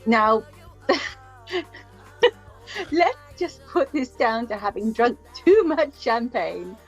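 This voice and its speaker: An actress playing a flustered, kindly middle-aged mother in a radio play, hesitant and gently chiding. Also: on the high side, big pitch swings, slow, soft background music, heavily acted. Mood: composed, firm.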